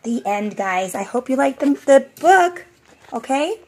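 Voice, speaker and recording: A middle-aged woman, a preschool teacher, reading a storybook aloud to young children in an expressive, sing-song way with drawn-out phrase endings. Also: sits high, a little hiss or hum. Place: outdoors on a covered porch.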